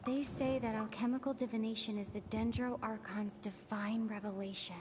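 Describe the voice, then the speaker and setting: A woman livestreamer reading game dialogue aloud in a halting way, half to herself. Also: fairly high pitch, steady tone. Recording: noisy game livestream with clicks.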